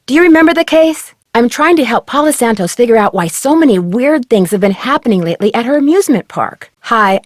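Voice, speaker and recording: A woman playing an inquisitive, polite young American detective in a video game, speaking earnestly and clearly. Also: fairly high pitch, no echo, clean studio sound, lightly acted. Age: young adult. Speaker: player character in an adventure game. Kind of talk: scripted video game dialogue.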